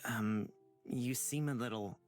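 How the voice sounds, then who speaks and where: Visual novel lead, male actor as a mild-mannered young man. Medium pitch, tentative and courteous delivery. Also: slow, faint music behind, natural voice. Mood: hesitant concern. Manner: hesitant, polite. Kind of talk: visual novel game voice acting.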